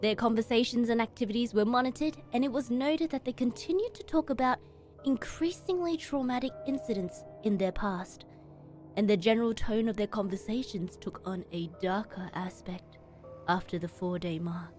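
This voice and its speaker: A woman streamer reading a horror story aloud in expressive, dramatic narration with emphatic pauses. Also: a little high. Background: background music.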